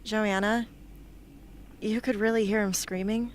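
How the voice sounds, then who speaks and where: A woman's voice: mid-range voice, steady tone, measured pace, natural voice. A woman playing a guarded, self-doubting young heroine in an amateur radio drama, speaking in a subdued, terse way. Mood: weary, guarded.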